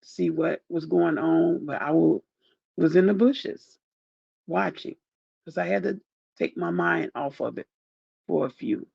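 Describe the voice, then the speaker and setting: A grieving man with a groggy, deep voice, talking in a rambling, heartfelt way as he thanks his viewers in a casual chat. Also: unhurried, gravelly, long pauses, husky, no echo, highs cut off. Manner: soft-spoken, rambling, heartfelt. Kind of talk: casual direct-to-camera chat.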